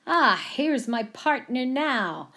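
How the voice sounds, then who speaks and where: A woman's voice: sits high, big pitch swings, takes it slowly, pushed and bright, voice pushed up, heavily acted. A playful young man hamming up a cowboy drawl as performed by a woman. The storybook voice is raised and swoops widely with exaggerated twang. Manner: hammy, playful. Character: young male prime minister faking a cowboy.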